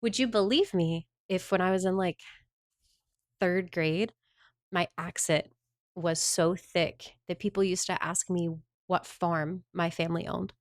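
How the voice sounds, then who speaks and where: A woman's voice: on the low side, fairly even intonation, unhurried, no echo, studio quality. A woman from the Southern United States chatting casually on a livestream, rambling and answering her viewers' chat messages.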